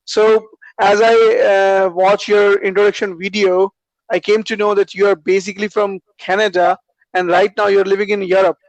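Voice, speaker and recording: A man's voice: sits high, flat delivery, moderate pace, no echo, rough recording. A man with an Indian accent practicing English on a video call, asking questions and repeating words back. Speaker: English learner. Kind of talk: casual online conversation lesson.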